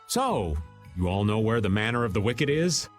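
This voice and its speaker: A man's voice: fairly low pitch, sing-song, normal speed. An actor playing a laid-back, wily older man in a video game, talking in a casual, folksy, theatrical way. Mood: sheepish, casual. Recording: music under the voice. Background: game background music.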